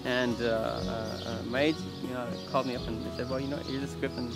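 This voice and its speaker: Halting man being interviewed, music behind him. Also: medium pitch, little pitch movement, vocal fry. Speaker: actor in a behind-the-scenes interview. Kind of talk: casual on-camera interview.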